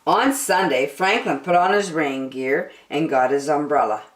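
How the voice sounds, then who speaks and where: A woman's voice: low voice, unhurried, echoey room. A woman reading a storybook expressively as a storyteller for children.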